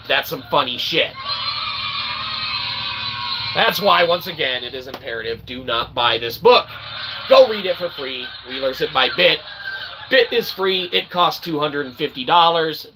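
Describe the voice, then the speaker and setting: A man's voice: on the high side, creaky, background noise. A man hosting a livestream, rambling through a monologue and checking in with "okay?" and "all right". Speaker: livestream host.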